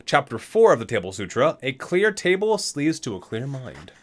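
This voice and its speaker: A man hosts an ASMR read-aloud in a mid-pitched voice. His delivery rises and falls widely, with deliberate dramatic emphasis on each entry he reads. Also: very animated. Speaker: host reading aloud for an ASMR video. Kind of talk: ASMR read-aloud of game item descriptions.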